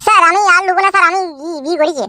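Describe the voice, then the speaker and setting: A performer voicing a cowardly, henpecked village husband in a cartoon who whines and stammers. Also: male voice, extremely high pitch, rapid-fire, pushed and bright, dry recording, studio quality, full character voice. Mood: whiny. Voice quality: whiny, stammering.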